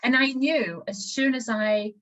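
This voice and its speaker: A woman's voice: on the high side, a little slow, fairly bright tone, slight background noise. A woman from West Yorkshire with a Yorkshire accent, an interviewer sharing a personal story frankly in conversation. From West Yorkshire, England.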